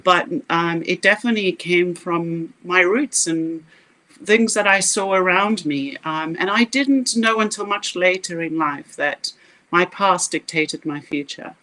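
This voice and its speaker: A woman's voice: lowish voice, a little slow, sharp tone, low-quality recording. A middle-aged woman from South Africa with a South African accent, a scientist guest speaking earnestly and with passion in a podcast interview. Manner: earnest, emphatic, passionate storytelling.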